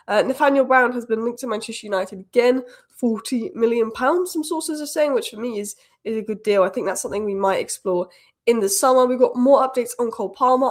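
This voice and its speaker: Fast-talking woman with a cold. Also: fairly high pitch. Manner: opinionated, rapid-fire fan commentary. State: has a cold, slightly croaky. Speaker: football channel host. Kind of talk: fast solo news roundup.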